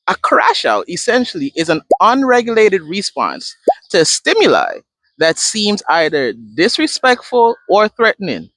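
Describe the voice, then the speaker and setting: A man's voice: high voice, a bit bright, no echo, rough recording. A man from Guyana, a therapist in training, explaining a coping tool step by step in a conversational talk. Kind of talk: direct-to-camera advice talk.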